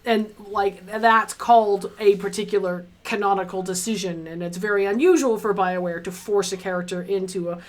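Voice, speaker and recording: A woman hosts a casual livestream interview. Her voice sits at a middling pitch. She talks in an animated, conversational way and often voices short quoted asides. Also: normal speed, few pauses. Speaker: host interviewing guests.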